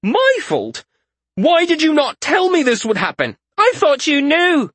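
A man's voice: high voice, lively intonation, moderate pace, fairly bright tone, dry recording, pitched above the performer's own voice. A male narrator voicing Malseus, a brash young nobleman who gets indignant and whiny.

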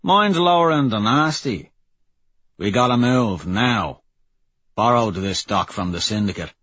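A man's voice: lowish voice, animated, measured pace, a bit bright, husky, exaggerated voice. A man voicing a wry, stringy working-class smuggler in an audiobook, talking in cocky slang. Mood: urgent, brash. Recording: muffled, roomy audio.

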